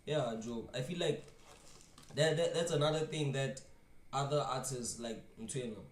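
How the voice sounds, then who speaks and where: A man's voice: mid-range voice, measured pace. A South African man commenting casually, rambling and repeating words while mixing in local slang. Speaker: reaction streamer. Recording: echoey room, far from mic.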